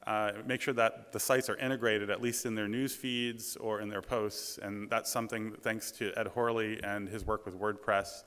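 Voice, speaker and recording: A man giving a conference presentation in a large hall, with a booming voice and a halting delivery full of ums and restarts. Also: level tone, fairly bright tone, clear, resonant tone. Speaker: conference speaker, task force president. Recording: reverberant hall.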